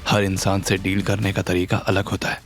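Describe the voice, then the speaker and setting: A man's voice: sits low, level tone, normal speed, faint music behind. A man voicing a confident, scheming businesswoman in an audio drama, commanding and challenging, mixing English into the talk. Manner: challenging, code-switches into English.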